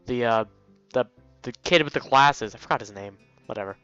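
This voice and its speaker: A man's voice: mid-range voice, a little fast, sharp tone, clear, resonant tone, no room sound. A young man reading a visual novel aloud as a let's play host, playfully commenting and stumbling over lines. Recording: dull, lo-fi mic with music. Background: game music.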